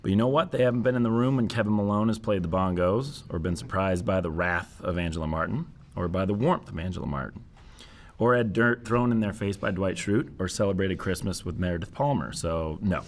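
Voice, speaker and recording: A young office salesman, low-voiced, wry and fond, in a sitcom confessional. He is dry yet sincere, with faint noise in the room. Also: a little fast. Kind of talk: sitcom table read, confessional monologue.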